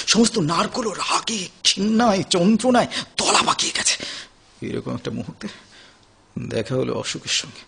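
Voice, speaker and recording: An actor playing a despairing, sickly young recovering addict in an audio drama, halting and stammering with melodramatic anguish, in a husky, gravelly voice. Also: male voice, fairly high pitch, bright, forceful tone, full character voice. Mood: haunted, bitter. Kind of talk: Bengali audio drama acting.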